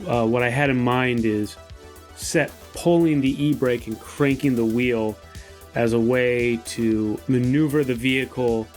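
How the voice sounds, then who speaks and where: A man playing a tabletop game, explaining his moves and describing action in a deliberate, casual way. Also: mid-range voice.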